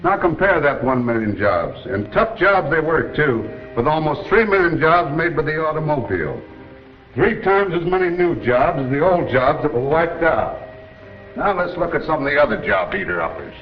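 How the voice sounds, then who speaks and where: A man's voice: fairly high pitch, very animated, moderate pace. An actor playing a folksy, persuasive older American working man, explaining things emphatically in a 1930s educational film. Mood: patient, explaining. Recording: muffled 1930s film soundtrack, music behind.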